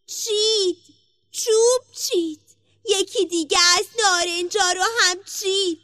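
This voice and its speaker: A woman's voice: very high voice, fairly bright tone, no echo, voice pushed up. A woman voicing a magic tree that cries out in a chanting, repetitive alarm in a storybook tale. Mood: urgent alarm.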